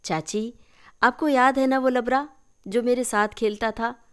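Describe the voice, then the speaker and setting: A woman voicing a curious, mocking young woman in a story, expressive and wry. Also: fairly high pitch, unhurried, smooth, voice pushed up.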